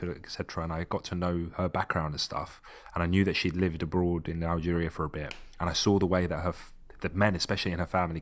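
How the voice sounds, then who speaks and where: A man from Tunisia co-hosting a podcast, talking in an animated, emphatic way and often restarting his phrases. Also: low voice. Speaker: podcast co-host. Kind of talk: casual podcast chat.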